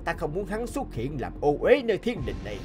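A man plays an old supreme God from a cartoon heaven. He is calm, stern and solemn, speaking with measured authority over music. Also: a little high. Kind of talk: cartoon voice acting.

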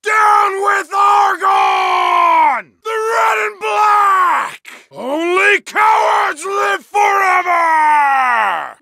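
A roaring gravelly berserker. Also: male voice, extremely high pitch, exaggerated voice. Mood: battle fury. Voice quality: gravelly shouting. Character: fierce bearded male berserker warrior, bloodthirsty. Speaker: game character voice lines. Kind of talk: video game combat barks. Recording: dry studio recording.

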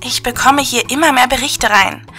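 A woman's voice: sits high, moderate pace, sharp tone, faint music behind. A woman playing a female radio co-host in a radio play, relaying news reports in a matter-of-fact way. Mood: concerned. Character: female radio co-host Terry, level-headed. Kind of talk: amateur radio play acting.

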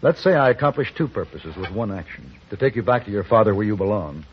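An actor playing a shrewd, self-assured gunfighter for hire in a 1950s radio western, with an American accent and a deliberate, taunting delivery. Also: male voice, on the low side, very animated. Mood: calm, knowing. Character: shrewd, cool-headed gunfighter for hire in the Old West. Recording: noisy, dull 1950s radio recording.

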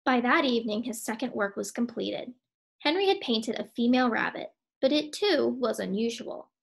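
High-voiced young woman reading a storybook. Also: animated, moderate pace, vocal fry. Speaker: teacher reading aloud. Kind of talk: storybook read-aloud.

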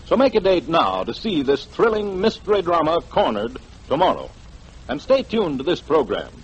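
A man's voice: a little high, very animated, normal speed, slightly rough. A man announcing a promotion in 1940s radio style. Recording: muffled, hazy old radio broadcast.

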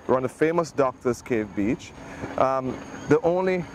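A man's voice: medium pitch, lively intonation, normal speed, a little hiss or hum, rough recording. Male tourism official from Jamaica, reassuring and positive, outdoor interview, lapel mic, light ambience. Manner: upbeat and reassuring. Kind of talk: casual on-location interview. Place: outdoors on a busy beach. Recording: outdoor beach ambience behind.

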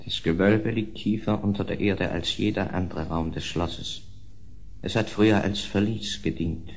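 A man's voice: deep voice, steady tone, normal speed. An actor playing a grieving, unhinged castle nobleman in a German horror radio play, brooding and theatrical. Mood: grave, brooding.

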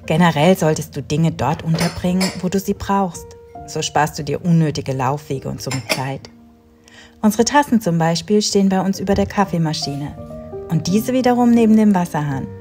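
A woman in her thirties calmly narrating a home cleaning routine in a voice-over, giving step-by-step tips straight to the viewer. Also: fairly low pitch, normal speed, music in the background. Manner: calm, instructive, talks directly to the viewer. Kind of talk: calm scripted voice-over narration.

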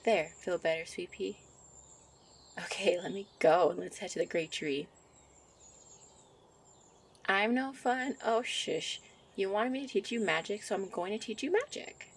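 A woman voicing a shy, sweet young fairy in an ASMR roleplay, with a soft, coy, flustered manner. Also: medium pitch, a little slow, long pauses, husky. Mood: gentle, instructive. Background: birdsong and outdoor ambience.

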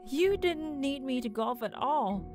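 High-voiced, betrayed young woman. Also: lively intonation, measured pace, a little mellow, faint music behind. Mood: hurt, angry. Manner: intense, emotional, accusing. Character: betrayed, furious young woman robot golfer. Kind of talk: anime-style video game voice acting.

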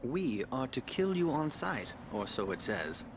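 An actor playing a calm, guarded but kindly older priest in a video game, with measured delivery. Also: male voice, medium pitch, background noise. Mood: grave. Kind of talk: video game cutscene acting.

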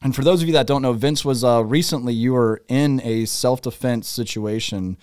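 A man in his thirties hosting a podcast interview in a studio, upbeat and relaxed with easy fillers, speaking into a studio mic. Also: mid-range voice, normal speed, clear, resonant tone, no room sound. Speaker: podcast host. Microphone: studio mic, headphones.

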